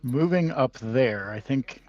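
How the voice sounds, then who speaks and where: A man's voice: medium pitch, expressive, slow, darkish tone, dry recording, dull audio. A man chatting casually as a player in a tabletop roleplaying game.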